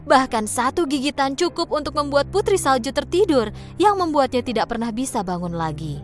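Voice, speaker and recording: Female narrator for a children's cartoon, expressive storybook reading with a lively, fairly high voice. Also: a little fast, soft background music.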